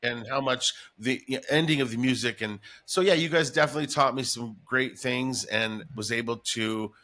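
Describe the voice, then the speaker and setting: A man's voice: mid-range voice, moderate pace, sharp tone. A middle-aged man talking casually and enthusiastically as a guest in an interview, reminiscing in a chatty way. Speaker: radio morning show comedian, guest.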